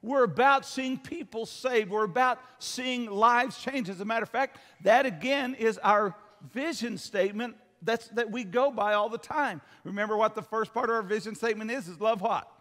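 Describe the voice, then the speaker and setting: A man's voice: high voice, moderate pace, hardly any pauses, fairly bright tone. An older man preaching a sermon to a congregation as a pastor, in a folksy, emphatic manner. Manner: folksy, emphatic, seeks amens from listeners. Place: church hall, speaking from the stage. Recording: long hall reverb.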